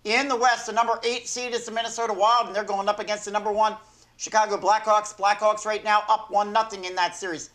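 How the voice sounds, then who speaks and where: An older man with a hoarse, failing voice hosting a sports talk show from a small studio desk, rambling and correcting himself as he goes. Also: sits high, little pitch movement, quick pace, fairly bright tone. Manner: rambling, self-correcting, chuckling. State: hoarse, losing his voice.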